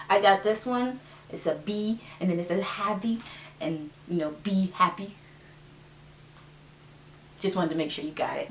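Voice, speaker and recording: A woman's voice: medium pitch, echoey room, a little hiss or hum. A woman in her twenties with a stuffed-up nose, chatting casually and upbeat as a vlogger showing her things. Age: 20s. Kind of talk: casual show-and-tell chat. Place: her bedroom.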